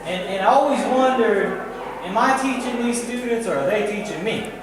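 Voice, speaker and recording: An American man from Missouri giving a storytelling artist talk in a gallery, deliberate, with dramatic repetition and short phrases. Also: high-pitched, a crowd in the background. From Missouri, United States. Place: indoor gallery, recorded from a distance. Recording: very echoey gallery hall, distant mic, noisy.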